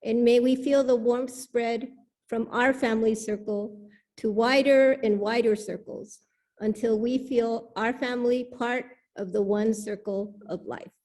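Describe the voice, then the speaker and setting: A woman with a fairly high voice leads a church service. She reads prepared words and announcements to the congregation from a microphone at the front. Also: fairly even intonation, unhurried, warm tone. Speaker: worship leader welcoming the congregation. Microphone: pulpit microphone in a church service.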